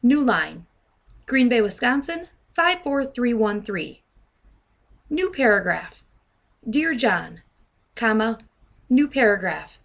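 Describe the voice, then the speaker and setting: A woman trainer narrating an instructional software demonstration, speaking deliberately and enunciating dictated commands. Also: a little high, big pitch swings, vocal fry. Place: voice-over to a screen recording.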